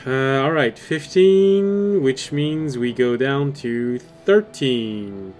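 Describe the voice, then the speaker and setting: A man narrating a tabletop game as game master, in a halting, deliberate way. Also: medium pitch, darkish tone. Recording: music behind. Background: background music.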